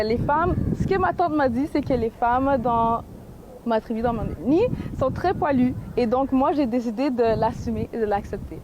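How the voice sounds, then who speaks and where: A woman's voice: high-pitched, darkish tone, slight background noise, low-quality recording. A young woman in her twenties with a Québécois accent gives an earnest personal testimony, with frequent hesitations. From Montreal, Canada. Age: 20s. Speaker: activist and painter. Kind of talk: personal testimony in a video clip.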